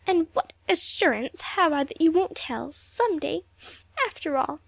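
Woman voicing a high, wheedling schoolgirl. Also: completely flat delivery, a little fast, darkish tone, no echo. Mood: sniffing, entreating. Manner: wheedling, stammering, sniffling. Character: sly schoolgirl, falsely gentle, crumpling into tearful pleading.

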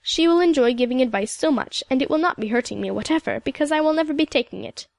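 A woman's voice: high voice, expressive, fast talker, vocal fry, no room sound. A woman voicing a composed, proud, sorrowful elderly woman in an audiobook, with lilting old-country phrasing and a habit of tagging on "oh yes". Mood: sorrowful, resigned. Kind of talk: audiobook character dialogue.

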